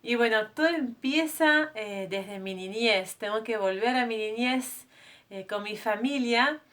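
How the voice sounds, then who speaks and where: A woman's voice: a little high, unhurried, fairly bright tone. Earnest French woman sharing her testimony. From France. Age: 30s. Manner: earnest, conversational, many hesitations and self-corrections. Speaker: missionary giving her testimony. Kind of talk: personal testimony to a women's group.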